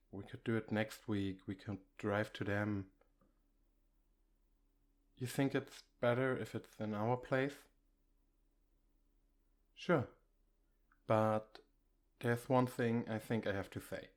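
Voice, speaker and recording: A man playing an affectionate, reassuring boyfriend in an intimate ASMR comfort roleplay, speaking gently and a little haltingly. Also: fairly low pitch. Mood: reassuring, gentle. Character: affectionate, reassuring boyfriend, shyly bragging about his partner.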